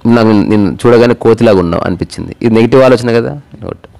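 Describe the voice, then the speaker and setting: A man's voice: lowish voice, rapid-fire, vocal fry, mellow. A man tells moral stories and personal anecdotes in a casual, conversational way, acting out bits of dialogue and mixing in English words. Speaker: storyteller.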